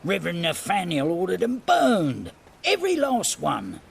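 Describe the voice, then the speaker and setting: An actor playing a coarse, sleazy, foul-mouthed body hauler in a video game, with a croaky voice and a working-class British accent. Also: male voice, high-pitched, huge pitch swings, moderate pace, a little hiss or hum, heavily acted. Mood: gleeful. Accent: British regional working-class. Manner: chuckling, crude, swinging between musing and snapping. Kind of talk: video game character acting.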